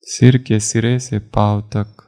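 Slow, deep-voiced man guiding meditation. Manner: calm, measured instructions with long pauses. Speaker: yoga nidra meditation instructor. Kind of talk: guided relaxation meditation.

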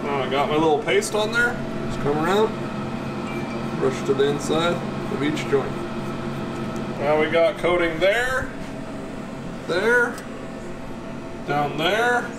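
A man's voice: fairly high pitch, slow, pauses often. A man in his thirties giving casual hands-on build commentary, thinking aloud informally as he works in a garage workshop. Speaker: car builder and vlog host. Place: metal-walled garage workshop, indoors. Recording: loud steady shop ventilation noise.

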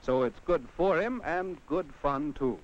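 A man's voice: on the high side, measured pace. An American man giving scripted narration in the manner of a 1950s educational film, talking straight to a child with rhetorical questions. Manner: addresses the child on screen directly with rhetorical questions. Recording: dull vintage 1950 film soundtrack.

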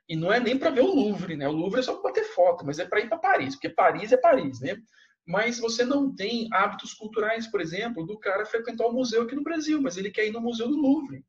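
High-voiced Brazilian teacher, casual. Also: male voice, dull audio. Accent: Brazilian Portuguese. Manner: casual, slangy, checks in with "né?" and "tá?". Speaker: history teacher. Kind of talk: recorded slideshow lecture. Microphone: voice-over on slides.

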